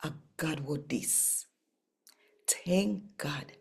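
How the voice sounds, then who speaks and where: A Jamaican woman with a husky, gravelly voice, hosting a scripture reading channel in a deliberate, emphatic manner. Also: low-pitched, whispered. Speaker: host and scripture reader.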